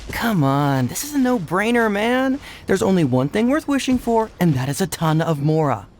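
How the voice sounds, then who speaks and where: A breezy, boastful young man in a video game. His pitch swings widely as he talks like an emphatic salesman, with faint noise behind him. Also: big pitch swings, moderate pace. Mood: cocky. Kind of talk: English video game voice acting. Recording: game capture with faint background ambience.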